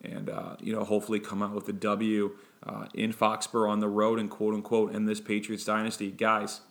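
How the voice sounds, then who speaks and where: A man hosting a fan podcast, giving a casual sports preview in a flat, monotone way, with many ums and restarts. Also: on the low side, fairly quick.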